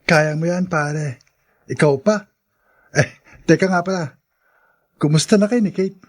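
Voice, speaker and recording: High-pitched Filipino young buddy. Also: male voice, unhurried. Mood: friendly, curious. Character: teasing, encouraging young male buddy. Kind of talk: radio drama acting.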